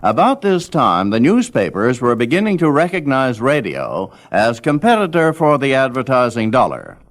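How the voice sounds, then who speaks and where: A man's voice: mid-range voice, wildly swooping pitch, moderate pace. A man reading scripted documentary narration as the narrator of a history of radio.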